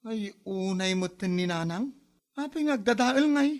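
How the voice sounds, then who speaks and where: A man's voice: sits high, animated, moderate pace. A man playing an exasperated grown son in an Ilocano comedy drama, chiding and reasoning with his parents in an Ilocano Filipino accent. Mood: puzzled, concerned.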